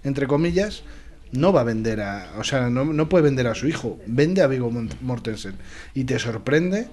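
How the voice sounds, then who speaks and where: A man with a Spain accent speaks in a medium-pitched voice. He discusses cinema in a casual, opinionated way, stressing his points emphatically. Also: animated, moderate pace, darkish tone. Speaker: film discussion panelist.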